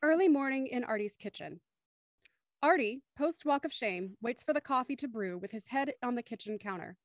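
High-voiced woman hosting and narrating. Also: lively intonation, normal speed, dry recording, studio quality. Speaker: host reading stage directions. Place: home, on a video call.

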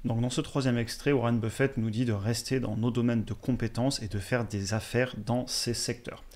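A man in his thirties hosting a finance channel, explaining investing to viewers in a steady, direct way, recorded on a close studio mic in a small room. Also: mid-range voice, moderate pace. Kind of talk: educational commentary over slides. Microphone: studio mic on a boom in front.

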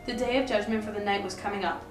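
A woman's voice: mid-range voice, fairly even intonation, fast, recorded from a distance, music in the background. A young woman narrates a scripted tale in a mid-range voice. She is recorded in an echoey room, a little away from the microphone, with faint noise around her. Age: young adult. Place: indoor room, puppet show recording.

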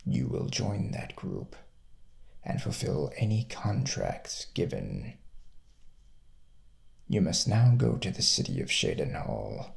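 A young man playing a sinister, courteous assassin cult leader in a hushed, half-whispered ASMR roleplay. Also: rumbling low, vocal fry, heavily acted. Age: young adult.

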